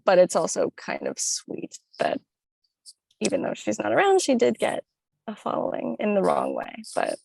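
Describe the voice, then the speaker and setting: A woman's voice: medium pitch, huge pitch swings, moderate pace, vocal fry, darkish tone, husky, dry recording. A young woman, a podcast guest, chatting casually and upbeat, often saying "like" and laughing. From born in Italy, raised in northern Florida. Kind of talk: casual chat.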